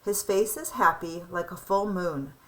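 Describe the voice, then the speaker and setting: A young woman reading a children's picture book aloud in an expressive storybook style. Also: on the low side, measured pace, poor audio.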